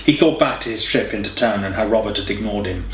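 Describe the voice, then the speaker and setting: A man's voice: lowish voice, quick pace, slight background noise. A man reading a scripted story as an audiobook narrator. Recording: roomy, echoey home recording.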